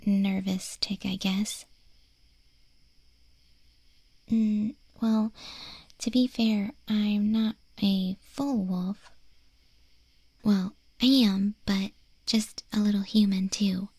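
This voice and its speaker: A woman playing a friendly, curious young wolf girl in an ASMR roleplay, speaking softly and gently in a breathy, hesitant near-whisper. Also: medium pitch, fairly even intonation, slow, no room sound. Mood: sheepish, playful. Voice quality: soft, breathy, mostly whispered.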